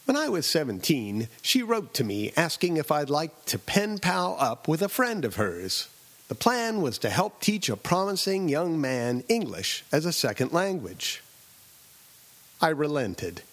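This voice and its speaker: A middle-aged man tells a scripted personal story in a wry, deadpan way, leaning on pauses for effect. His voice sits a bit high for a man and swings up and down freely as he narrates. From Southern California. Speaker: radio essayist and host.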